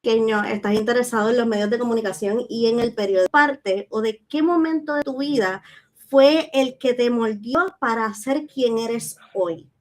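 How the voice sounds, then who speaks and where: A woman's voice: on the high side, normal speed. A woman hosts an interview show. She talks in a conversational, welcoming way as she introduces and questions her guest.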